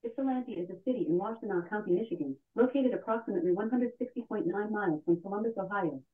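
A woman's voice: mid-range voice, quick pace. A synthetic woman's voice of a smart speaker assistant, giving scripted factual answers evenly and politely. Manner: even, polite, synthetic delivery. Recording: distant, phone-like smart speaker playback.

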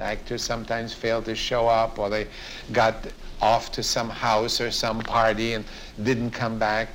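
Older man reminiscing, mid-pitched, deliberate. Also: a bit bright, a little hiss or hum, low-quality recording. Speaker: interviewee, radio veteran. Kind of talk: reminiscing interview.

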